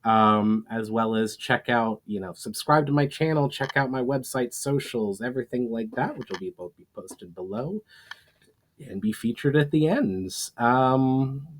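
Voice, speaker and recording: A man's voice: medium pitch, a little slow. A man speaking as an author and host of his own storytime readings. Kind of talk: dramatic audiobook-style reading, casual sign-off.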